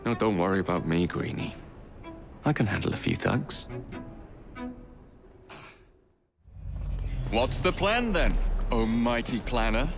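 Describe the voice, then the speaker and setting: An actor playing a brash, teasing young Victorian assassin with an English accent and cheeky banter in a game. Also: male voice, on the low side, lively intonation, normal speed, creaky, music in the background. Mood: cocky. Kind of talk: video game cutscene acting.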